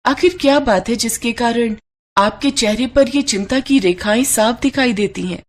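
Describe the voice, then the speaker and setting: A woman's voice: on the high side, normal speed, pitched above the performer's own voice, close to an everyday voice. A woman voicing a devoted, concerned wife and mother, earnest and pleading, in a devotional story.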